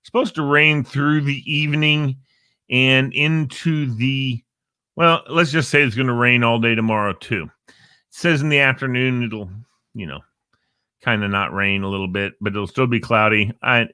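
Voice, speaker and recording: A man's voice: medium pitch, sharp tone, clear, resonant tone, dry recording, clean studio sound. A man hosting a casual show, rambling playfully with jokey asides about times and weather. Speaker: show host. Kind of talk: casual live show chat. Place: Northern Virginia.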